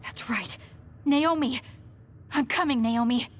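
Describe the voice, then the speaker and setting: A woman's voice: high voice, slow, a little hiss or hum. An actress playing an earnest, protective young female soldier in a video game. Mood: concerned. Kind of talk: English video game voice acting.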